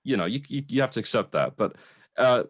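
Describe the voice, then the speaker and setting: Guy with really lively up and down pitch, blunt casual review talk, close dry audio. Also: fairly quick, no echo. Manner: blunt, informal, swearing. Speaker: film reviewer on a two-person show.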